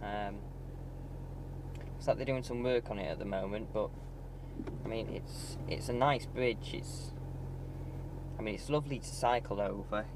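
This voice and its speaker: Rambling man driving, loud road noise. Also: lowish voice, measured pace, long pauses. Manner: rambling, with many ums and restarts. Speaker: vlogger giving a driving tour. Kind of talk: casual driving commentary. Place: inside a moving car.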